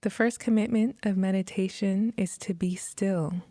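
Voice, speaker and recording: A woman leading a guided meditation in a soft, breathy, measured manner with long pauses. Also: mid-range voice, steady tone, no room sound, studio quality. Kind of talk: guided meditation, scripted narration.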